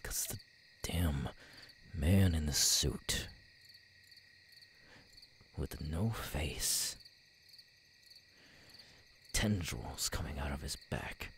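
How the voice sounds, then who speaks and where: A man acting an audio roleplay as a tormented, menacing young killer, bitter and halting, with a husky voice. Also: extremely low pitch, slight background noise, exaggerated voice. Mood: bitter, despairing. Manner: halting, brooding, bursting into anguished shouts.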